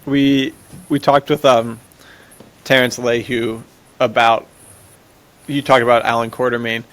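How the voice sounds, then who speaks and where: A man's voice: medium pitch, flat delivery, a little slow, a bit bright. A man co-hosting a podcast in casual, slangy chat. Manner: informal, slangy. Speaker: podcast co-host.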